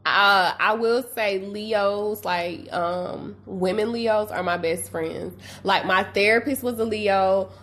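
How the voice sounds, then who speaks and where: A woman's voice: medium pitch, slow, few pauses, fairly bright tone, slight background noise. A woman in her twenties hosting a podcast, talking casually in chatty, slangy speech. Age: 20s. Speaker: podcast host.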